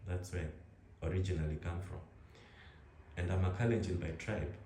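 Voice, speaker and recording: A young man with a Kenyan accent talks in a relaxed, rambling way, often restarting his words. His voice sits low and dark. The room has a strong echo. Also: a little fast, a little hiss or hum. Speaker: interviewee. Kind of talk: casual conversation.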